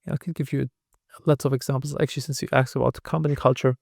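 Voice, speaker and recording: A man's voice: medium pitch, little pitch movement, rapid-fire, gravelly, darkish tone, dry recording, clean studio sound. A man with a German accent, a podcast guest telling candid, self-deprecating stories. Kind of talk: casual interview talk.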